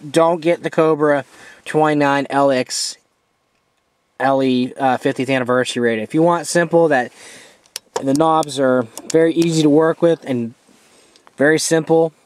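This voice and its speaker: A man giving a casual, hands-on product review as an amateur, informal and halting as he steps through features. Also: on the high side, fairly even intonation.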